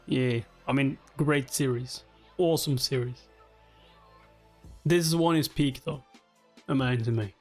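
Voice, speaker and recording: A man's voice: medium pitch, a little mellow. A man giving a casual, exclamatory live reaction while reading along with a webtoon, speaking informally with some mild swearing. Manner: exclamatory, informal, mildly profane. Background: background music.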